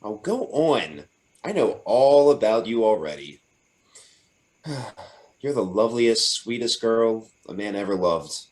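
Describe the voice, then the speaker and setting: Man acting adoring young sweetheart, lively intonation. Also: fairly low pitch, unhurried. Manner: sweet-talking, coaxing. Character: adoring, playful young fiancé, boyishly earnest. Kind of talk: old-time radio drama acting.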